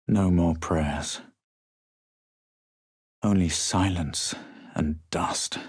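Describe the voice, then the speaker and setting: An actor voicing a self-assured, haughty adventurer and spellcaster in a fantasy video game, with a husky voice and measured, theatrical delivery. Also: male voice, deep voice, fairly even intonation, slight background noise. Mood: grave, measured. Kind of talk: fantasy video game voice lines, combat barks and narrated recollections.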